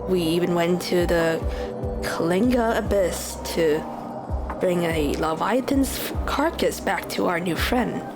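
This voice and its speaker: A woman's voice: fairly low pitch, a little slow. A woman voicing a composed, thoughtful space traveller as she reads video game dialogue aloud. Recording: game music behind.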